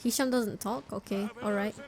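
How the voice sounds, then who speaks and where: A woman's voice: mid-range voice, fairly even intonation, moderate pace, darkish tone. A woman doing casual, chatty gameplay commentary in informal non-native English. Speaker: game streamer. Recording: game music behind the voice. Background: video game music.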